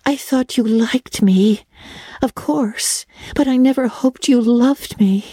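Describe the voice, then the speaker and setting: Woman playing a high-voiced, melodramatic young girl. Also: slow pace, dark tone, slightly rough, dry recording. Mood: wondering, overjoyed. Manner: theatrical, solemn, flowery old-fashioned speech. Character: Anne, earnest, romantic, melodramatic young orphan girl. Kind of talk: dramatic audiobook reading.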